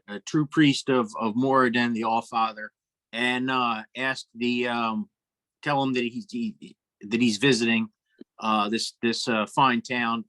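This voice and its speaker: A man playing a tabletop role-playing game, casually describing his character's actions in a halting, self-correcting way. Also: medium pitch, sharp tone, dry recording, low-quality recording.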